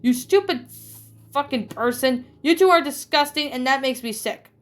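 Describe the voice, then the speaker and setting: A woman voicing a gloomy, morbid boy who lectures in a slow, deadpan way. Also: medium pitch, soft background music, natural voice. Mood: angry.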